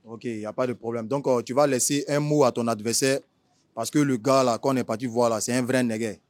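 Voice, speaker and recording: A man's voice: medium pitch, steady tone, a little fast, darkish tone, no room sound. Slangy Ivorian man interviewing. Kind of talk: informal street interview.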